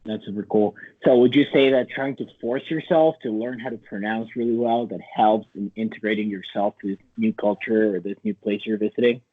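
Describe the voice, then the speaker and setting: A man's voice: lowish voice, fairly even intonation, fairly quick, few pauses, husky. A man hosting a casual interview, asking meandering, loosely phrased questions over a thin, narrow-band connection. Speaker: interviewer, host.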